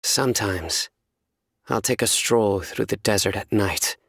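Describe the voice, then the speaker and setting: An actor playing a solemn, stern young enforcer of justice in a video game, speaking in a measured, formal, deadpan way. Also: male voice, on the low side, creaky, dry recording, studio quality, heavily acted. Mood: solemn, reflective. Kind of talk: scripted video game character voice lines.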